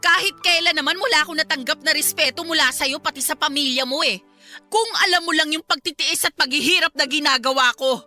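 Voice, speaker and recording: Hurt, suspicious young Filipino wife, radio serial; high, sharp voice over music. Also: female voice, brisk. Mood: hurt, angry. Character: hurt, suspicious young wife confronting her cheating husband. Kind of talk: Filipino radio drama acting.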